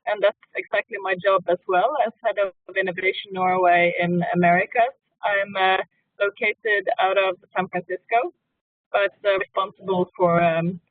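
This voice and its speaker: A woman's voice: medium pitch, dry recording. A woman introducing herself remotely by video call, in an upbeat, playful way. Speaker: head of a trade agency, remote panelist. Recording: dry, tinny call audio.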